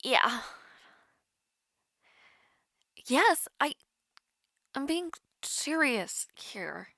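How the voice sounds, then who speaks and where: A woman playing a nervous, smitten young woman in an intimate romantic audio roleplay, halting and flustered. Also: high voice, sing-song, sharp tone, no room sound, studio quality, exaggerated voice. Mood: flustered, hesitant. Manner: halting, flustered, breathy sighs and whispers.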